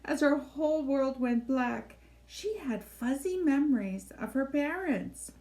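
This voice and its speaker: A woman with a fairly high voice narrates a children's storybook. She reads expressively and shapes her phrases deliberately, as in a library read-aloud. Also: lively intonation, warm tone.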